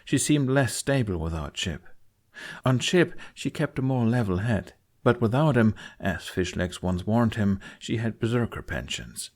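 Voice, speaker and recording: Male audiobook narrator, lowish pitch, scripted fantasy storytelling, dramatic reading. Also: animated, a little slow, darkish tone.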